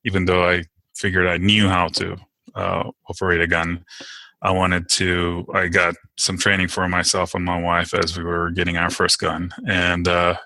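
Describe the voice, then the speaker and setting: Deep gravelly Turkish-born man, hesitant. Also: monotone, moderate pace, sharp tone, no echo. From Turkey. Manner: hesitant, many fillers and restarts. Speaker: podcast guest. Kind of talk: casual interview answers.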